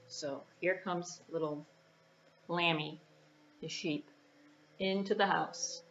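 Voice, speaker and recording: A woman's voice: fairly low pitch, pushed and bright, dull audio, a little hiss or hum. A woman storyteller narrating a children's puppet story in an informal, conversational way with asides to her listeners.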